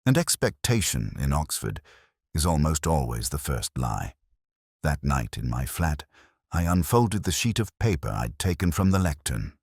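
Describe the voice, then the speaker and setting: A man reads a scripted first-person detective story in a measured, literary way with deliberate pauses. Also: rumbling low, very animated, creaky, breathy edge, dry recording, clean studio sound. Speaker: narrator.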